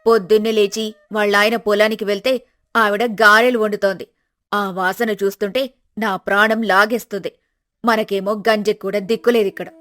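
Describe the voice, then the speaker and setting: A woman's voice: mid-range voice, flat delivery, moderate pace, fairly bright tone, natural voice. A woman voicing a shrewish poor village wife, scolding and complaining, in a folk tale. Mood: scolding, sarcastic.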